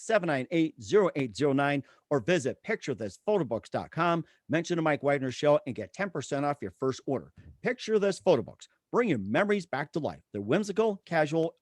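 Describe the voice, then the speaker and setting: A man with a fairly high voice hosts a show in a wordy, sometimes stumbling delivery. The sound is dry, with almost no room around him. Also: darkish tone, no echo. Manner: halting, wordy, stumbles over words. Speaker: show host. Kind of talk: ad reads and interview hosting.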